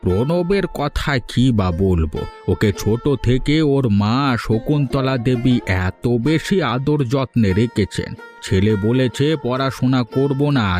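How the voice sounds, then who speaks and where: A man voicing a considerate, paternal village landowner in a cartoon, speaking in a measured, advising way. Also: medium pitch, darkish tone, lightly acted. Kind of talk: scripted cartoon dialogue. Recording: music behind the voice.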